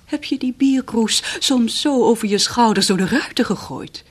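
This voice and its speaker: An actress playing a proud, sharp-tongued young lady in a radio play, with a breathy voice and a cool, probing manner. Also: on the high side, lively intonation, moderate pace. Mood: scornful. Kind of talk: 1970s radio play acting. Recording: radio play with faint music.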